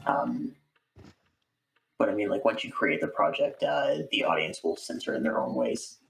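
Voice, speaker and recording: A man's voice: lowish voice, lively intonation, normal speed, gravelly, fairly bright tone, soft background music. A man answering as an interview guest, chatting casually in a hesitant, thoughtful way. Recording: slightly distant microphone.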